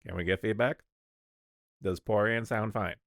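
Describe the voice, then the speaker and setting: A man's voice: on the low side, steady tone, normal speed, gravelly, fairly bright tone, no echo, clean studio sound. A man hosting a casual online stream chat, rambling and restarting his sentences while he sets up and talks. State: coughing lately, sick. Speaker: streamer host.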